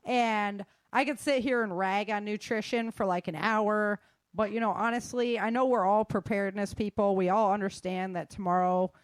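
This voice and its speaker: A woman's voice: medium pitch, fairly even intonation, normal speed, clean tone, no room sound. A middle-aged woman giving an informal talk in a candid, colloquial way with joking asides.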